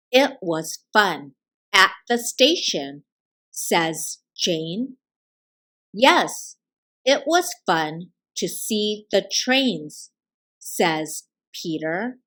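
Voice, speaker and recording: A woman reading a children's early reader aloud, deliberately enunciating each word as a narrator. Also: medium pitch, fairly bright tone. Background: background music.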